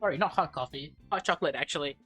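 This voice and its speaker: Expressive woman streamer reading a visual novel, music behind. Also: low-pitched, very fast, breathy edge. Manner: expressive, interjecting reactions, stumbling over words. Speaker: let's play streamer. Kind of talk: visual novel read-aloud with casual asides.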